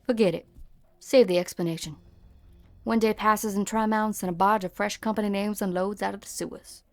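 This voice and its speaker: A woman playing a brusque, sharp-tongued frontier woman in an amateur game fan dub, blunt, slangy and scornful. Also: medium pitch, fairly even intonation, fairly quick, dry recording, close to an everyday voice. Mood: dismissive, cynical.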